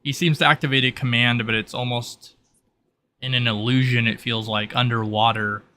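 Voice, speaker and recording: A man running a tabletop roleplay as game master, narrating in a halting, improvised way. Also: mid-range voice, steady tone, pushed and bright. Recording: noticeable background noise.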